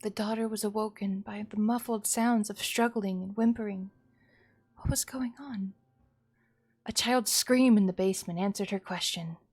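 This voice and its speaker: A woman's voice: mid-range voice, steady tone, moderate pace, darkish tone, breathy edge. A woman streamer reading a horror visual novel aloud as a dramatic narrator.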